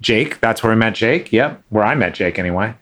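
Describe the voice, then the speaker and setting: A man's voice: fairly low pitch, expressive, fairly quick, fairly bright tone. Chatty male podcast host. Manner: friendly, chatty, with many fillers and restarts. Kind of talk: casual interview chat.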